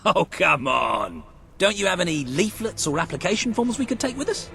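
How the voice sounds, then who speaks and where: An actor with a British accent playing a cocky, irreverent, wisecracking soldier in a fantasy video game, sardonic in manner. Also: male voice, high voice, normal speed, gravelly, music in the background, full character voice. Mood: mock flattering. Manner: sardonic, animated. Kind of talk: dramatic game dialogue.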